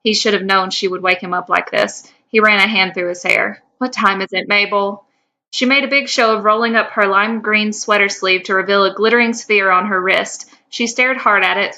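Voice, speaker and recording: Female podcast co-host, bright voice, middle pitch, casual storyteller reading fan fiction.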